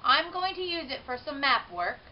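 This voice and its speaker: A businesslike schoolteacher from a children's book, as a woman reads her, announces briskly to a class. The recording is dull and lightly hissy. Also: high-pitched, big pitch swings, voice pushed up. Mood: brisk, instructive.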